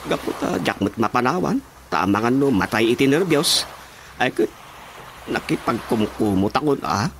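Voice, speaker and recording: A man's voice: medium pitch, animated, moderate pace, a little hiss or hum. A man acting a sheepish village councilman in an Ilocano radio drama comedy, stammering hesitantly, with an Ilocano accent. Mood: excusing himself. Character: sheepish village councilman making excuses to his boss. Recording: loud music behind the voice.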